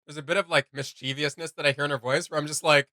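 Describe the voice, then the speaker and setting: A woman hosting a reaction video, chatting casually and excitedly as a fan and often quoting herself. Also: low voice, quick pace, no echo, clean studio sound. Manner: animated storytelling with self-quoting.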